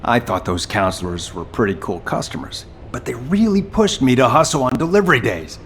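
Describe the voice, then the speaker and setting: Animated, easygoing man. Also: medium pitch, brisk, slight background noise. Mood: amused. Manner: conversational, animated. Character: easygoing, sincere male food-courier comrade. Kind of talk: video game cutscene acting.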